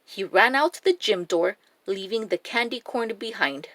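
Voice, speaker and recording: Female children's storyteller, unhurried and very animated, dry recording. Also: mid-range voice, no room sound. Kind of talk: expressive read-aloud storytelling for young children.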